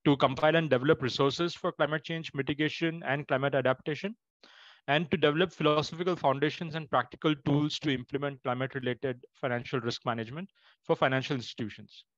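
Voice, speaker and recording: A man giving a formal introduction as a webinar host, speaking in a halting, measured way. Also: a little high, fairly even intonation, no room sound, dull audio. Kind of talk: formal introduction, unscripted remarks.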